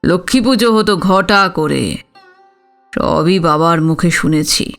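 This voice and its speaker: An actor playing a dignified older village father-in-law in an audio story, proud and reflective, explaining himself in a measured, deliberate way. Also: a little high, vocal fry, a bit bright, faint music behind, full character voice. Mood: measured, defensive. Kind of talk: Bengali audio story acting.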